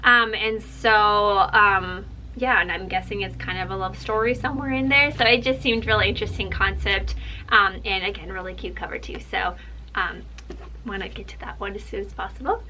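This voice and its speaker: A young woman whose first language is Russian, chatting excitedly through a casual book haul with plenty of "like" and "um". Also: medium pitch, moderate pace, slight background noise, low-quality recording. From Ukraine. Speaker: book vlogger.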